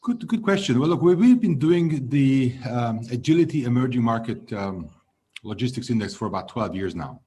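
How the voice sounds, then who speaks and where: A man in his fifties, born in Hungary, answering interview questions as a logistics executive in a measured way that trails off at the ends of phrases. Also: mid-range voice, animated, warm tone, a little hiss or hum.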